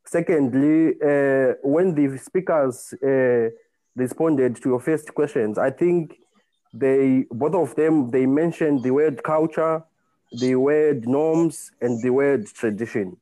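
A man with a Zimbabwean accent giving his view in an online discussion, speaking deliberately with hesitant pauses. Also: mid-range voice, mellow, no echo, low-quality recording. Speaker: participant in a group discussion. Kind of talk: spoken contribution to an online panel discussion.

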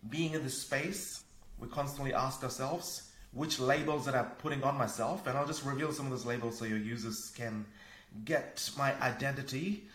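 A man's voice: medium pitch, moderate pace, a bit bright. A man from Fiji speaking as a podcast guest, explaining himself conversationally and reflectively. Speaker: podcast guest, consultant and coach. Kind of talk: conversational interview. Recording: roomy, echoey sound.

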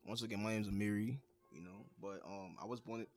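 A man's voice: on the low side, a little fast. A young man with a Trinidadian accent, chatting casually on a podcast and filling his talk with "you know". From United States. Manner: relaxed, many "you know" fillers. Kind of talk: casual chat.

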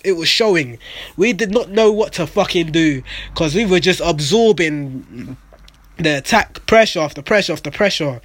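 A young man whose voice is raspy and nearly gone from shouting, pitched a little higher than most men. He talks fast and blunt in a casual fan reaction, and the sound is dry and close. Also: no echo. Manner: excitable, blunt, swears freely. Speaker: football fan vlogger. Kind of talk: casual post-match reaction monologue.

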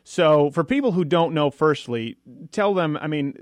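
A young adult man hosting a political talk radio show, talking fast and argumentatively with frequent asides. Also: a little high, animated, no echo, clean studio sound. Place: radio studio.

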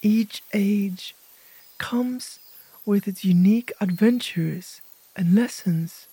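A woman narrating a guided imagery meditation as host, speaking gently in short, hushed phrases with pauses. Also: mid-range voice, breathy edge, no echo.

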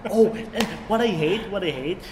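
A man's voice: high voice, lively intonation, moderate pace. A young Filipino man raised in Saudi Arabia, chatting casually in slangy, blended international English as a podcast guest. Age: young adult. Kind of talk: casual chat. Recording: long hall reverb, faint background noise.

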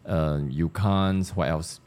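Malaysian guy, really low soft-edged voice, chatting about issues, keeps repeating words while thinking. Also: fairly even intonation, slow pace. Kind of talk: casual discussion of social issues.

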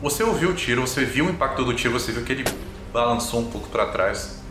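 A man's voice: medium pitch, animated, quick pace, noisy background. A man with a Brazilian accent narrating a tabletop game as game master. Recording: roomy echo, music underneath.

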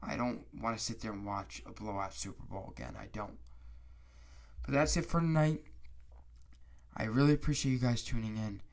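A man hosting a casual solo sports podcast, stuffy-nosed and hoarse from a cold, rambling and restarting his sentences. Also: fairly low pitch, normal speed, long pauses. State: congested, stuffy nose; hoarse, raspy throat.

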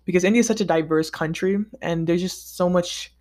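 A man vlogging in a casual, upbeat monologue, chatty and easygoing with relaxed slang. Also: high-pitched, brisk. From United States. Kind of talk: casual scripted monologue ranking countries.

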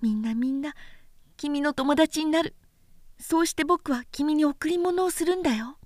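A woman voicing a small boy prince from a storybook, gentle, wistful and tender, with soft childlike phrasing. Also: sits high, moderate pace, dry recording, clean studio sound, lightly acted. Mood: tender, earnest. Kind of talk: storybook character voice in a literary recitation.

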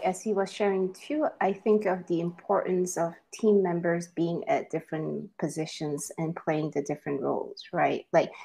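Woman, low voice, earnest, measured, team chat. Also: darkish tone. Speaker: team member.

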